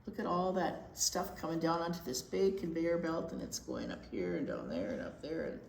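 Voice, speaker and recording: A woman reading a picture book aloud to young children as a storytime teacher, expressive and emphatic, explaining things simply. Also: sits low, moderate pace. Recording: roomy echo with faint noise.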